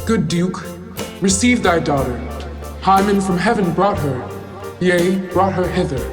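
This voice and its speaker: Guy playing kindly wedding god, high voice, music behind, shakespeare radio play. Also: a little slow. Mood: solemn, joyful. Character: benevolent god of marriage blessing a wedding.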